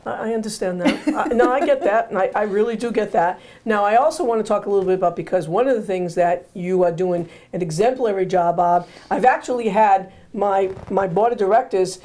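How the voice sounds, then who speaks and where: Woman host, voice going hoarse, rambles on, repeats stuff, little jokes. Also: medium pitch, fairly quick, hardly any pauses, warm tone. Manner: rambling, repeats herself, joking asides. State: hoarse, losing her voice. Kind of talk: casual interview chat.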